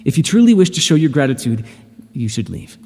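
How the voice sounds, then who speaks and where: A haughty, irritable male god of the underworld, played by a man, scolds with dry sarcasm in a warm, mellow voice. His pitch swings widely from line to line. The sound carries a long reverb over faint ambient noise. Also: big pitch swings, fairly quick. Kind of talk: ASMR audio roleplay.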